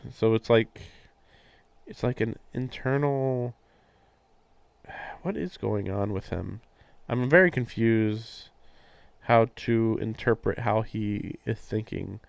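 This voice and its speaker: A man's voice: on the low side, slow pace, gravelly, long pauses, no echo. A man on a live game stream, reading narration aloud and breaking off for casual, puzzled commentary. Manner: puzzled, questioning asides. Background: game music.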